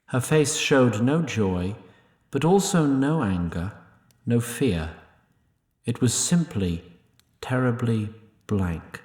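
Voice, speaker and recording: A man reading scripted story narration in a measured, solemn manner. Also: medium pitch, lively intonation, a little mellow, echoey room.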